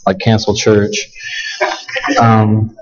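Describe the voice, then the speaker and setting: A man's voice: lowish voice, little pitch movement, very slow, highs cut off, crowd noise. A man, preaching as a guest at a church, talking casually and humbly with restarts. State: scratchy voice with a cough. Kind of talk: sermon. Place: church sanctuary.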